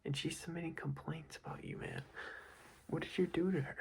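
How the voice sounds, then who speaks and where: A man's voice: fairly high pitch, wildly swooping pitch, a little fast, gravelly, slight background noise. A young man whispering an ASMR roleplay as a boss, scolding in a casual, rambling way with many fillers. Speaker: ASMR creator playing a boss.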